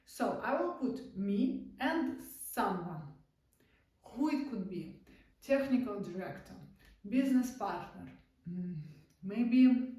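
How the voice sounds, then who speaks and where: A woman with a Russian accent, a native Russian speaker, giving a casual online drawing lesson in halting, non-native English with many restarts. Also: mid-range voice, expressive, warm tone. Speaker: art-therapy instructor. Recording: very echoey room, distant mic.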